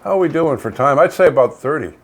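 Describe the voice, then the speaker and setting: A man's voice: on the high side, animated, quick pace. A middle-aged man with a Canadian accent, chatting casually in a folksy, talkative way as a local radio co-host. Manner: folksy, chatty, uses "eh" and "you know". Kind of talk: casual co-hosted chat. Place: home studio.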